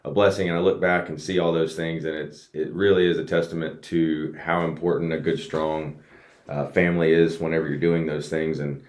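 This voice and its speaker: Very deep-voiced man, earnest and reflective. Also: flat delivery, normal speed, darkish tone. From United States. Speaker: guest, race car driver. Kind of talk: casual podcast interview. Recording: roomy echo.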